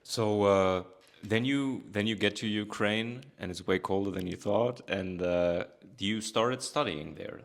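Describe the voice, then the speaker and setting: A man's voice: lowish voice, unhurried. A German man with a German accent, hosting and interviewing on a podcast.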